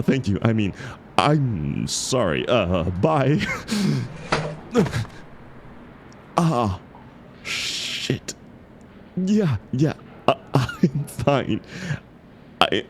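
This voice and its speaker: A man's voice: low-pitched, a little hiss or hum, heavily acted. A man playing an awkward, bashful book club member in an intimate scripted roleplay, speaking hesitantly with stammers and self-deprecation. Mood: flustered, mortified. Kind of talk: scripted romantic audio roleplay, intimate one-sided dialogue.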